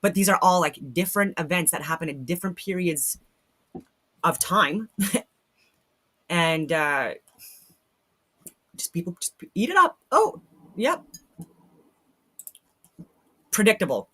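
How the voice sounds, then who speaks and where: A woman's voice: lowish voice, very animated, slow, pauses often, a bit bright, husky. A woman hosting a casual live reaction stream, blunt and skeptical, repeating phrases for emphasis.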